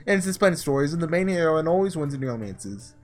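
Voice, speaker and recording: A man doing a casual let's play, reading story text aloud and breaking off into excitable asides, with game music behind him. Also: on the high side, fairly quick. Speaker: gaming video creator doing a blind playthrough.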